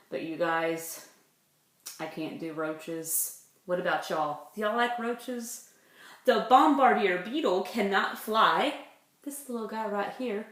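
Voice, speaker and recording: A woman's voice: mid-range voice, fairly bright tone. A woman, a preschool teacher reading aloud to young children with simple, chatty explanations. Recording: echoey room.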